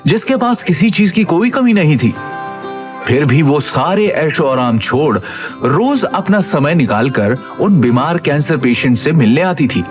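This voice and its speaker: Animated, higher-pitched male story narrator. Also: unhurried, over music. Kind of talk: dramatic scripted Hindi audio story narration.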